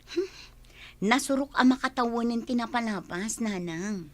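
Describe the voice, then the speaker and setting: A woman's voice: fairly high pitch, moderate pace, close to an everyday voice. A woman playing a frank, resolute young single woman in a radio drama, speaking emphatically and conversationally. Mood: frank, resigned.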